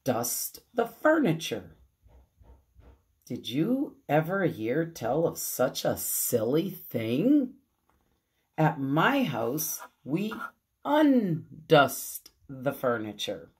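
A cheerful, naive housekeeper from a storybook, as a woman reader puts her on. She is earnest and exclamatory, with very animated pitch and an unhurried delivery. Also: heavily acted. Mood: matter-of-fact.

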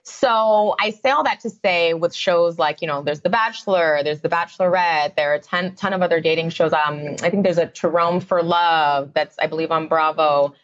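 A woman's voice: lowish voice, normal speed, few pauses, dull audio. A woman, a psychotherapist guest on a podcast, explaining her views in a conversational way with many fillers. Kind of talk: podcast interview.

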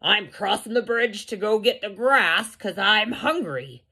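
A woman voicing the biggest billy goat in a children's story, a strong, confident male who is loud and defiant. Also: mid-range voice, lively intonation, measured pace, husky, pitched above the performer's own voice, exaggerated voice. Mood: bold. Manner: loud, plain-spoken and defiant.

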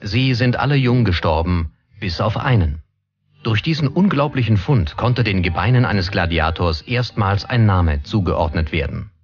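A man's voice: lowish voice, animated, normal speed, lo-fi sound. A man reading scripted documentary narration. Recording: music under the voice. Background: background music.

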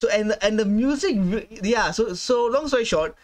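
A man in his twenties hosting a casual gaming reaction, halting and repeating himself as he searches for words. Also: high voice, lively intonation. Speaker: gaming reaction video host. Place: small room at home, indoors.

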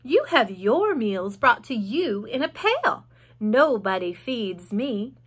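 A woman voicing a clever, bold female spider who is matter-of-fact and lively, with sudden high exclamations and hushed asides. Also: takes it slowly, husky, full character voice. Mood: sharp.

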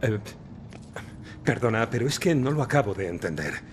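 Game role, sardonic dead cop ghost; lower register, lively swings, clear ambient noise behind. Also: male voice, normal speed. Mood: bewildered, questioning. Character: bewildered ghost of a murdered male police detective, sardonic, gruff. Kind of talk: video game cutscene acting in Spanish dub.

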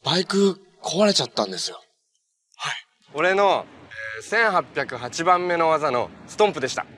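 A man's voice: fairly high pitch, animated, normal speed, sharp tone. An actor playing an easygoing, kind young male hero in a TV superhero drama, speaking in a friendly, earnest, casual way. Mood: apologetic, friendly. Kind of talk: naturalistic Japanese TV drama acting. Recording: TV drama audio with noticeable background noise.

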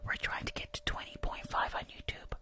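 A woman in her twenties doing hushed ASMR roleplay and livestream chat, murmuring repetitive little phrases close to the microphone. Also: a little fast. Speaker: ASMR creator and livestream host. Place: small indoor room at home. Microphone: close desktop microphone, earphones on.

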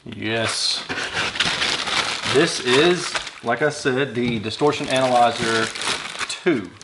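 Male, 30s, mid-pitched, informal hands-on reviewer thinking aloud; clear handling and crinkle noise behind. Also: slow. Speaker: product reviewer unboxing audio gear. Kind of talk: casual hands-on unboxing commentary. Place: small indoor workshop room. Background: packaging crinkles, clicks, rustling.

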